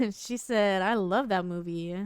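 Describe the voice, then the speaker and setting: A woman's voice: mid-range voice, slow, clear, resonant tone, no room sound. A young woman chatting casually and playfully as she comments live on a game. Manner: playful, informal. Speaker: game streamer.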